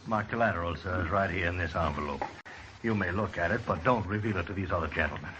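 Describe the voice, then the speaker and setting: A man plays a low-voiced frontier gambler, urgent, insistent and formal in manner. It is 1950s radio western acting, dull-sounding with faint noise. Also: fast talker, lightly acted. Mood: urgent, secretive. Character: smooth-talking, insistent, desperate frontier poker gambler. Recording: dull old radio broadcast, faint hiss.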